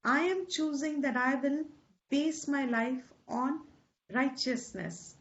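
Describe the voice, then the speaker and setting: Woman, high voice, patient explanatory lecture, dull lo-fi recording. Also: takes it slowly, fairly bright tone. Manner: explanatory, repeats key terms, slips into Hindi asides. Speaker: Vedanta teacher. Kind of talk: spiritual class lecture.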